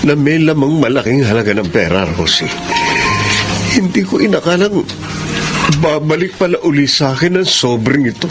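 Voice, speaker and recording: A man's voice: on the high side, expressive, moderate pace, loud music behind the voice, lightly acted. A man playing an earnest poor father. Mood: reflective. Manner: earnest, polite, reflective. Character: poor, humble adult husband and father, grateful. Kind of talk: Filipino radio drama acting.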